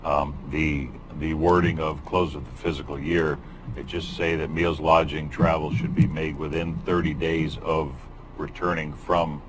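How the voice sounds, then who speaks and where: A man serving as board chair, running a county meeting and calling motions and roll in brisk procedural phrases. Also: very deep voice, background noise. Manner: runs the agenda in brisk procedural calls. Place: public meeting room.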